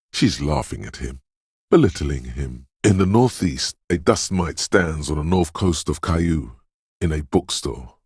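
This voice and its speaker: A man voicing a brooding, superstitious inner voice of intuition in a video game, speaking in a hushed, portentous, theatrical way. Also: no room sound, studio quality, exaggerated voice. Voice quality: very deep, gravelly, husky. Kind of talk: dramatic video game narration.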